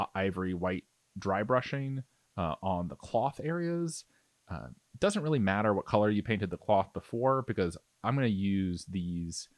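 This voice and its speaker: Low-voiced man, lively casual tutorial narration. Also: dry recording. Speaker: miniature painting tutorial host. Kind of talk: casual instructional narration over demonstration.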